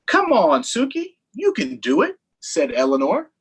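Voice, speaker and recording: A man hosts a story time for young children in a husky voice. His pitch swings widely as he reads and chats. His delivery is playful and sing-song, with casual asides to the viewers. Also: wildly swooping pitch, a little slow, a bit bright.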